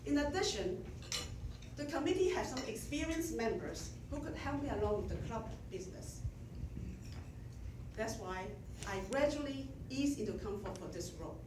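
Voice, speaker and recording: A middle-aged woman with a Chinese accent delivers a prepared speech to her club, measured and gently self-deprecating. Her voice sits a little high. She is picked up from a distance in an echoey meeting room with clear room noise around her. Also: lively intonation. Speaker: outgoing club president.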